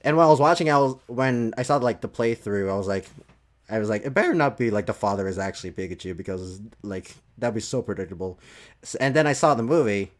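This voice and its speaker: A man chatting casually on a podcast about movies, often repeating and rephrasing himself in a halting way. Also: fairly low pitch, lively intonation.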